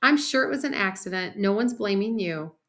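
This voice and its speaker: Woman performing a doting storybook mother, gentle, soothing, cooing over her children. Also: medium pitch, animated, brisk, natural voice. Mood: reassuring.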